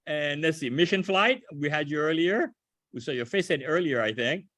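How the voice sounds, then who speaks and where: A man's voice: a little high, moderate pace, fairly bright tone, no echo. An older man from Haiti leading a ground school meeting on a video call, talking casually and often restarting his phrases.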